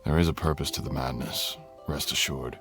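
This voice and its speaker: A man's voice: rumbling low, fairly even intonation, normal speed. A man playing a courtly, teasing, tender dragon king in human form, speaking intimately as a lover in an ASMR roleplay. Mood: candid, wry. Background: music.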